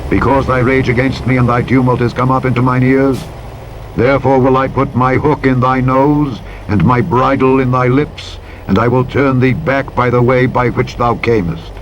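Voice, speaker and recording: A man's voice: medium pitch, steady tone, moderate pace, lo-fi sound. A man narrating scripture from a script in a solemn, formal manner. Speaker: audiobook Bible narrator. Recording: vehicle engine noise behind the voice. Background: truck driving sounds from a simulator game.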